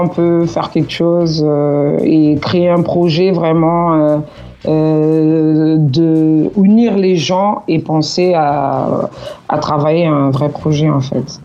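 A woman's voice: deep voice, fairly even intonation, slow pace, mellow, lo-fi sound. A woman from Portugal, speaking as a guest on a radio interview, earnest and full of hesitations. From Portugal, Cape Verdean parents. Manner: hesitant, many fillers, earnest. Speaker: association president.

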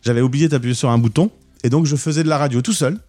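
A man's voice: medium pitch, fast, warm tone, faint music behind. A man hosting a radio show, chatting casually in an interview with enthusiasm and frequent stammered restarts. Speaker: radio host. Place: radio studio.

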